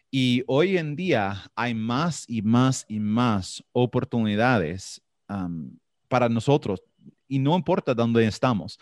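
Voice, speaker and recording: A man from Florida with an American accent and English as his first language, answering interview questions haltingly as he searches for words in a second language. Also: lowish voice, dry recording, clean studio sound. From Florida, United States. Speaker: podcast guest.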